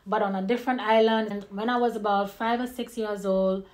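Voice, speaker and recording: A woman's voice: mid-range voice, steady tone, brisk, darkish tone. A young woman from Haiti, a vlogger chatting to camera in a casual, open and personal way, a little nervous, with small laughs. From Haiti, raised in the Caribbean. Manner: nervous, by her own account. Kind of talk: casual get-to-know-me chat to camera.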